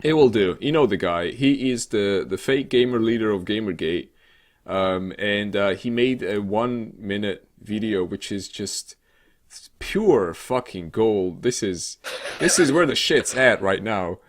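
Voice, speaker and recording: A man co-hosting a casual, sweary comedic news chat in a halting, rambling, sarcastic way. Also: on the low side, expressive. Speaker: co-host of a weekly games show.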